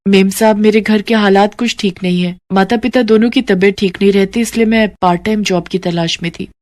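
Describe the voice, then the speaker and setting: A woman's voice: mid-range voice, steady tone, a little fast, a little mellow, natural voice. A woman voicing a poor, earnest and polite young woman in a cartoon story. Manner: polite, deferential. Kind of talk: acted dialogue in a Hindi cartoon story.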